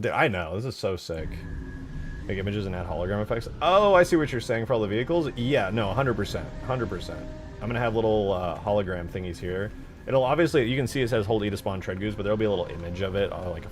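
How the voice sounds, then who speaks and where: A man's voice: lowish voice, animated, fast talker, faint music behind. A man giving casual, informal live commentary as he works on game mods, muttering his thoughts aloud with the odd swear. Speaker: game modder, streamer.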